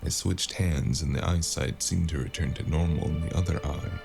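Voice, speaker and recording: A man's voice: a bass voice, fairly even intonation, moderate pace, vocal fry, loud music behind the voice. A man playing a battered, sardonic, world-weary investigator in a cyberpunk noir audio drama. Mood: weary narrating.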